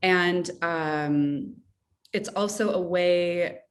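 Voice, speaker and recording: A fairly low-voiced woman talking in long, effusive, rambling turns, often doubling back to restart a thought. She is a guest on a video call. She sits a little away from her microphone, so her voice sounds distant. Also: drawn-out words. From Russia. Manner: effusive, rambling, circles back mid-sentence. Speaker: author, interview guest. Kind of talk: casual interview chat.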